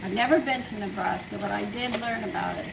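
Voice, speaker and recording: A woman's voice: medium pitch, quick pace, creaky, noisy background. A woman from Texas chatting casually with viewers on a home cooking live stream. She rambles from one topic to the next and answers comments as they come in. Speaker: home cooking show host. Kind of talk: casual live-stream chat while baking. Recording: echoey kitchen, far from mic, loud running water.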